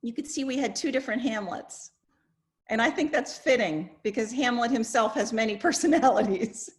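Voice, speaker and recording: A woman's voice: a little high, expressive, moderate pace. A woman, a professor, moderating an informal discussion over a video call. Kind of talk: informal online class discussion.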